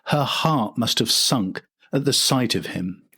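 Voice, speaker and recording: A man's voice: mid-range voice, animated, unhurried, pushed and bright, slightly rough. An older man reading a scripted documentary narration as the host and storyteller.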